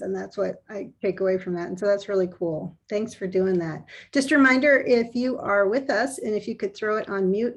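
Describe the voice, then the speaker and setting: A woman's voice: medium pitch, gravelly, slightly rough. A woman moderating an online panel, upbeat and encouraging as she passes the floor to speakers.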